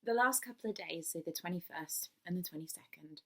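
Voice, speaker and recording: A woman's voice: lowish voice, lively intonation, fairly quick. A young woman chatting to camera about her knitting, enthusiastic and full of ums, recovering from a cold. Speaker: knitting vlogger. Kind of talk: casual chat to camera. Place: small indoor room.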